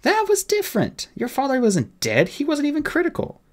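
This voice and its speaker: A man's voice: very high voice, huge pitch swings, brisk, pitched above the performer's own voice, exaggerated voice. A man voicing a testy, blunt female fairy police captain in an audiobook story. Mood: grim, insistent. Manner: snappish, blunt, skeptical.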